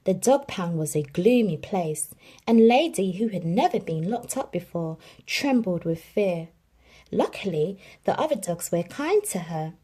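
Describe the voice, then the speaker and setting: A woman reading a children's storybook aloud in an expressive storytelling manner. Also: fairly low pitch, a little slow, husky. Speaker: children's book reader.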